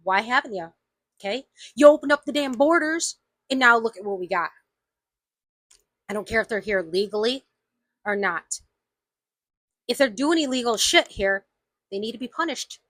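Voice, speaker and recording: Indignant woman with a bright, edgy voice. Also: fairly high pitch, expressive, normal speed, long pauses, no room sound. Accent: slight Southern US. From United States. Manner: indignant, emphatic, repeats herself. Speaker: vlogger. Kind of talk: angry opinion rant to camera.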